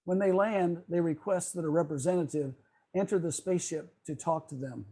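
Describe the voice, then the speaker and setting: A man presenting a semi-scripted talk on a video call, explaining in a measured way with occasional stumbles as he reads. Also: on the high side, mellow, husky. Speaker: club presenter on old-time radio.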